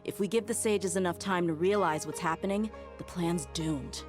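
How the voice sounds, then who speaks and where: Bold, low-voiced mercenary woman voiced by an actress. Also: normal speed, over music, natural voice. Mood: confident, eager. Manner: casual, assertive. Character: bold, confident female desert mercenary leader. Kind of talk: scripted game dialogue.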